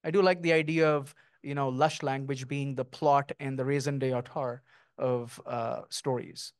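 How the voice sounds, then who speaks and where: A middle-aged man, a guest author, speaking thoughtfully and lyrically with many pauses at an onstage conversation, on a handheld mic. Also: medium pitch, fairly even intonation, clear, resonant tone, no echo, clean studio sound. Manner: thoughtful, lyrical, with frequent ums. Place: small stage before an audience, indoors.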